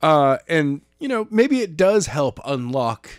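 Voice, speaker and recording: A man's voice: a little high, big pitch swings, slow pace, no echo, clean studio sound. A man co-hosting a podcast, casually discussing a book and joking, often acting out imagined lines. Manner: joking, acts out imagined dialogue in asides. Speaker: podcast co-host and narrator of the chapter.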